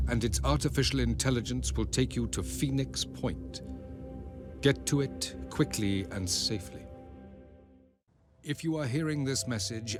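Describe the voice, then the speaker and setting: An actor playing a weary, grave male leader leaving a measured recorded message in a video game. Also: medium pitch, natural voice. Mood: calm urging. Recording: music loud behind the voice. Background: loud music.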